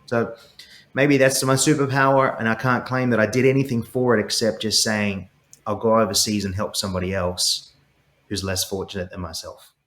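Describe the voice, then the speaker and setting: Middle-aged Aussie man, low-pitched, eager guest in an echoey room, stumbles into his points. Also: flat delivery, normal speed, a bit bright. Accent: Australian. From Australia. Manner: passionate, repeats words when starting sentences. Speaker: guest, startup CEO. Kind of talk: earnest interview conversation.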